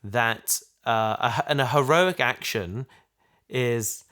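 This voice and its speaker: A man's voice: medium pitch, slow pace, bright, forceful tone, clear, resonant tone. A man chatting casually on a podcast, posing questions and working through thoughts with hesitant phrasing. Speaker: podcast discussion partner asking questions.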